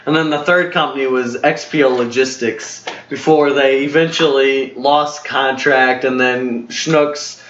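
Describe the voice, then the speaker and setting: A man's voice: mid-range voice, steady tone, a little slow, hardly any pauses, fairly bright tone, slight background noise. A young man in his twenties telling a casual story to a friend, rambling and full of fillers and self-corrections. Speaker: storyteller host. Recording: roomy, dull lo-fi home recording.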